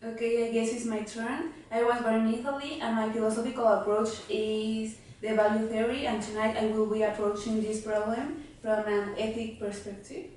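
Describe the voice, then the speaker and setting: A woman's voice: a little high, little pitch movement, moderate pace, hardly any pauses, a little mellow, natural voice. A woman playing a modest philosopher as a guest in a talk-show skit. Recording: very echoey room, distant mic.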